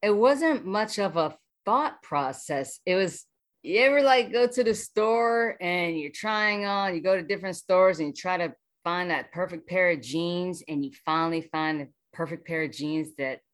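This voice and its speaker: Female voice actor guest, low register, conversational and reflective, feeling her way through answers. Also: measured pace. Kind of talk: casual interview chat.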